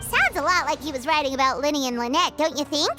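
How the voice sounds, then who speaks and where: Lady doing a tiny squeaky fairy sidekick for a game, super high and bouncy, curious, music behind. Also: fast, lightly acted. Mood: curious, chatty. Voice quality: squeaky, childlike. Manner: refers to self in third person, naive exclamations. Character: tiny childlike floating fairy companion, perky and curious. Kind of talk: video game voice acting.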